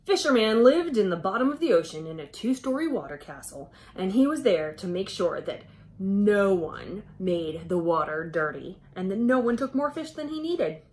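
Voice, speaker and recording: A woman in her thirties reading a picture book aloud to children with expressive, exclamatory delivery and dramatic emphasis. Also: lowish voice. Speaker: children's storyteller.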